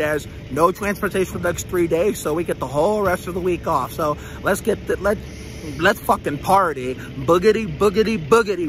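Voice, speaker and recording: A young man chatting casually and excitably, swearing freely, into a phone at arm's length while vlogging outside. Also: high voice. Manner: excitable, profanity-laden. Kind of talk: casual self-filmed vlog chat. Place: outdoors on a suburban street. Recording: outdoor street noise, passing vehicles.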